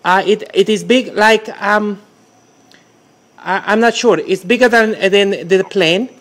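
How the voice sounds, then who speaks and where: A man's voice: sits high, a bit bright. A man putting on a confused foreign caller. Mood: excited. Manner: broken halting English, repeats himself. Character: confused foreign man with broken English, excitable. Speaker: prank caller. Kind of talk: prank phone call.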